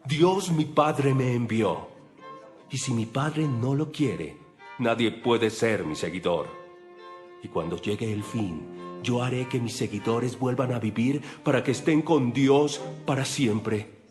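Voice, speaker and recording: A solemn male holy teacher played by an actor speaks slowly and deliberately in an audio Bible drama. His pitch is middling and his manner is assured and declarative, with faint music behind him. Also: darkish tone, natural voice.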